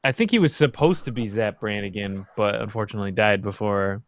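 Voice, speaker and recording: A young adult man chatting casually as a livestream panelist in a tentative, halting way. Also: fairly low pitch, dry recording, studio quality. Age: young adult to 30s. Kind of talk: casual chat.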